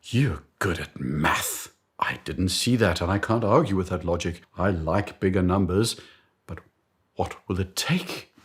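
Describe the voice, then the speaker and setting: Gullible office yes-man, acted by a man, easily flustered, overplayed wonder, husky, comic sketch. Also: low voice, measured pace. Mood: easily convinced. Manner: exaggerated astonishment, hesitant repetition. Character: gullible, easily flustered boardroom colleague, dim and agreeable.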